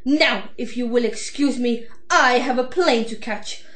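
A woman's voice: a little high, unhurried, fairly bright tone. Woman playing a suave, menacing villainess doctor, roomy sound. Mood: coolly menacing. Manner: formal, mock-polite, theatrical. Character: suave, menacing female doctor villain, coolly gloating mastermind. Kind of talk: amateur audio drama acting.

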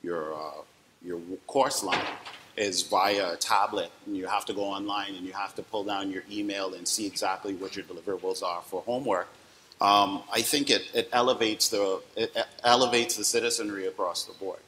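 A man's voice: on the low side, fairly even intonation, moderate pace, sharp tone. A Bahamian man on a conference panel, speaking hesitantly with many restarts. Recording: long hall reverb, faint noise.

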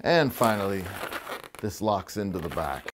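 A middle-aged man giving a relaxed, chatty balloon-twisting lesson in a living room, with wry asides and a lapel mic. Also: fairly low pitch, a little slow, noisy background. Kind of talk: casual tutorial demonstration. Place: living room with fireplace, indoors.

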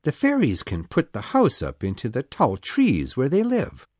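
A woman's voice: extremely low pitch, wildly swooping pitch, moderate pace, a little mellow, no room sound, studio quality, voice pushed down, heavily acted. A woman voicing a stubborn, wistful boy adventurer who refuses to grow up, in a children's audiobook. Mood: wistful, hopeful.

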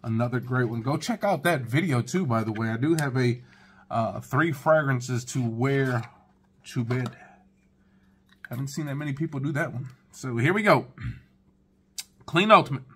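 A relaxed man in his thirties talks to the camera from his living room, reviewing fragrances in a loose, chatty way. He speaks right to his viewers at a mid-range pitch, recorded close up. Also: moderate pace, long pauses, slight background noise. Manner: laid-back, chatty, talks straight to viewers. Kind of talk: casual first-impressions review.

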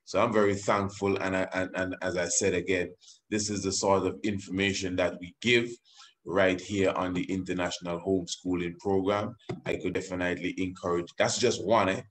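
A man's voice: deep voice, steady tone, moderate pace. A man presenting a homeschooling program in informal promotional commentary, often repeating and rephrasing himself. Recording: faintly noisy voice recording.

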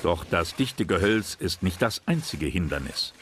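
A man's voice: low voice, very animated, unhurried. A man reading scripted narration for a history documentary. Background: background music.

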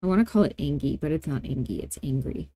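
A woman narrating a software tutorial casually and playfully, thinking aloud as she goes. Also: deep voice, animated, quick pace, no echo. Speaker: tutorial host.

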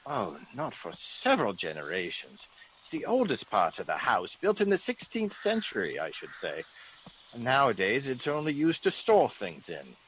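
An actor playing a fussy, sceptical British gentleman in a vintage radio drama, genteel, blustery and exclamatory. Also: medium pitch, wildly swooping pitch, moderate pace. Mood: curious. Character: fussy, excitable, sceptical English gentleman of the manor. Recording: noisy vintage radio recording.

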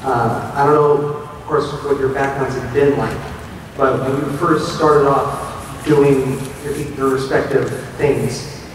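Loud-voiced man asking in an echoey hall. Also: mid-range voice, fairly even intonation, slow pace, slight background noise. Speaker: audience member. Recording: echoey hall, distant mic.

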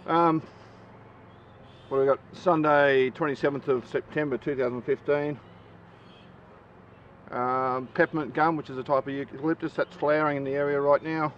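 A man's voice: fairly high pitch, moderate pace, pauses often. A man, a hobby beekeeper talking casually with frequent ums as he works his hives in a backyard. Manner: relaxed, chatty, frequent ums while working. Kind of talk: casual outdoor how-to vlog. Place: backyard apiary outdoors. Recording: slightly dull outdoor camera audio with faint noise. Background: neighbour's lawnmower.

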